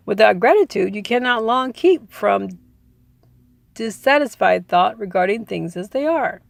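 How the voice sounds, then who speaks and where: A woman narrates a self-help audiobook in a medium-pitched voice with a noticeable creak. Her phrasing is deliberate and emphatic, moving between read text and informal commentary. The sound is close and dry. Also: dry recording. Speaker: audiobook reader adding personal commentary.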